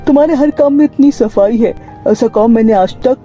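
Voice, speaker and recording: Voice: mid-range voice, normal speed, mellow, muffled recording, soft background music, close to an everyday voice. A performer voicing an appreciative male company owner in a cartoon story, earnest and formal. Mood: pleased, generous.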